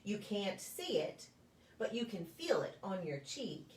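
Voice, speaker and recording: A woman reading a children's picture book aloud in a deliberate, sing-song storytelling way for preschoolers. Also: mid-range voice, big pitch swings, a little mellow. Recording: echoey room, distant microphone.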